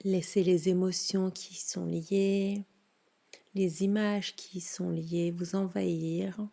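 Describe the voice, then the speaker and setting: A woman leading a guided yoga nidra relaxation in a soothing, hushed manner with long pauses. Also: fairly low pitch, level tone, creaky.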